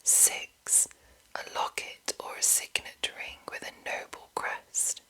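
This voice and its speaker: A woman reading aloud in a breathy, hushed whisper for ASMR, speaking deliberately as she presents fantasy game lore. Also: rough recording. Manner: slow, hushed, deliberate enunciation. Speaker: ASMR host.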